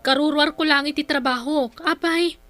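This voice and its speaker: A woman's voice: sits high, fairly even intonation, moderate pace, a bit bright, soft background music. A woman playing a dutiful, long-suffering, outspoken eldest daughter in an earnest, emotional radio drama style. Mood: caring, tired. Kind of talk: Ilocano radio drama acting.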